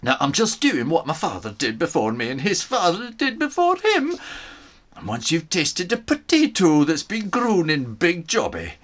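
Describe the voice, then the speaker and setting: A man's voice: on the high side, wildly swooping pitch, normal speed, fairly bright tone, pitched above the performer's own voice, exaggerated voice. Man putting on a proud, swooping Scottish island farmer. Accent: Scottish, put on and exaggerated. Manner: emphatic, folksy storyteller. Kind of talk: storybook read aloud in character.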